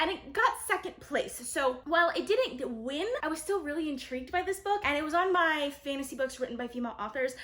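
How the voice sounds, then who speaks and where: A woman's voice: sits high, fairly bright tone. A woman chatting casually to viewers like a vlogger, enthusiastic and gushing, with lots of emphatic repetition. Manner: enthusiastic, gushing, frequent "really" and "like". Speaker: book reviewer and vlogger. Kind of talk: casual chatty book haul talk to viewers.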